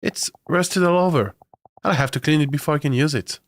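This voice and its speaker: A young man streaming a video game, talking casually with fillers and swearing, his voice rough and congested from a nasty cold. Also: a little high, brisk, no room sound, clean studio sound. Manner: chatty, swearing, self-mocking, with filler sounds. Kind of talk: casual live-stream chat.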